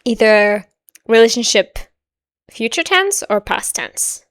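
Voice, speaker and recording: A young adult woman whose native language is Swedish, hosting a reaction video in casual, musing commentary that often trails off quietly. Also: a little high, big pitch swings, slow pace. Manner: musing, hedging, soft-spoken with frequent quiet trailing asides.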